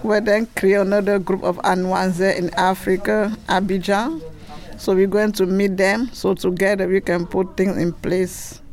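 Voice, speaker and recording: Woman, hesitant interviewee, average pitch. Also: steady tone, unhurried, a little mellow. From Cameroon. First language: French. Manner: hesitant, simple phrasing, laughs between answers. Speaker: association treasurer. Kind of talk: casual interview answers.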